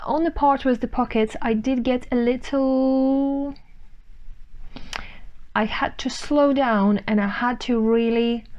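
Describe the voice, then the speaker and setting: A woman's voice: fairly high pitch, a little mellow, highs cut off. A young woman, a native Russian speaker from Ukraine, chatting casually on her sewing vlog and thinking aloud with fillers and restarts. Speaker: sewing vlogger.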